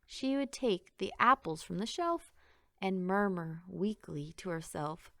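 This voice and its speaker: A woman reading a rhyming children's story aloud in an expressive, sing-song way. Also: lowish voice, unhurried, gravelly, no echo, studio quality. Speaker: picture book reader.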